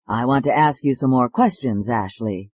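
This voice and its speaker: A narrator voicing a calm male psychiatrist with a slow, soothing manner in an audiobook. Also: medium pitch, breathy edge, dry recording, lightly acted. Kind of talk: audiobook character voice.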